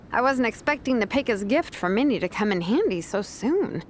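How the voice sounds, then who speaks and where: An actress playing a confident vice captain and teacher in a video game, speaking casually, diplomatically and persuasively. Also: female voice, a little high, animated, normal speed, slight background noise, natural voice. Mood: casual, considerate.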